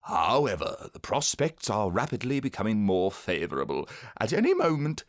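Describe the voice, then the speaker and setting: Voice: medium pitch, wildly swooping pitch, normal speed, dry recording, clean studio sound. A reader voicing a self-important male owl in a children's storybook, grave, wordy and ponderous. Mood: pompous. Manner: ponderous, grave, long-worded.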